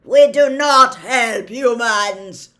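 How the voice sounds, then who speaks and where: A woman's voice: medium pitch, animated, slow pace, sharp tone, pitched above the performer's own voice. A woman voicing a fierce, proud, angry male centaur in an audiobook, with bellowing, haughty delivery. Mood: snarling pride. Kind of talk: dramatic audiobook character voice.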